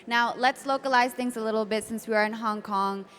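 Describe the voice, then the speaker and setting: A woman's voice: a little high, fairly even intonation, brisk, fairly bright tone. A woman moderating a conference panel, asking questions in a casual, upbeat way. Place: conference stage. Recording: echoey conference hall with faint noise.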